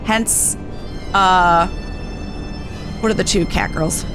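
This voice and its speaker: A woman streaming a game, chatting casually in an excitable, playful, informal way. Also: on the low side, slow pace, music in the background. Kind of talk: casual live gameplay chat.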